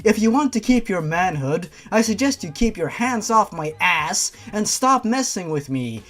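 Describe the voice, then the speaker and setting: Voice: mid-range voice, sing-song, normal speed, a bit bright. A narrator voicing a feisty young woman who is fed up and scolds in a firm, threatening way.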